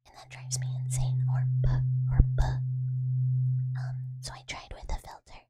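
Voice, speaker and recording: A woman ASMR streamer softly whispering and rambling while she chats with her live audience about her microphone setup. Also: moderate pace. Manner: soft whispering, rambling with many fillers. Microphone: USB desk mic.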